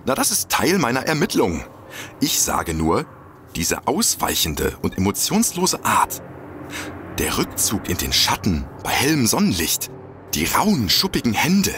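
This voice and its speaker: Eifriger, leichtgläubiger Amateurdetektiv, lebhafte Sprachmelodie. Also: male voice, fairly low pitch, measured pace, noisy background. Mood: earnest, conspiratorial. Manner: hesitant, excitable, earnest. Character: eager, gullible male amateur detective obsessed with lizard people. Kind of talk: comedic audio drama acting.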